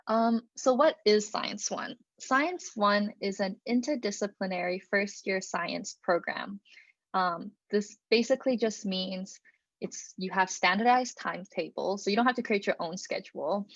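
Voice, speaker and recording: A young woman speaks on a video call, relaxed and chatty, filling her pauses with "um" and "like". Her voice sits at an ordinary pitch. The audio is dry and a little dull. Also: vocal fry, dry recording. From Canada (Alberta). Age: young adult. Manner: casual, many fillers and "like". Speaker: student presenter. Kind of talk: online presentation talk. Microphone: computer mic on a video call.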